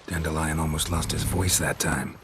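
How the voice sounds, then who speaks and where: A very low-voiced, gruff monster hunter from a fantasy video game. He is weary and sardonic, speaking in short deadpan phrases with a gravelly edge. Clear ambient noise runs behind the voice. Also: moderate pace. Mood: wry. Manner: dry, deadpan, laconic.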